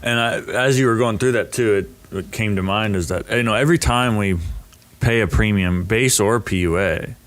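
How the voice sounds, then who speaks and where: A man with a low voice explains ideas in a casual podcast chat. He thinks out loud and often starts a phrase over before landing it. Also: normal speed.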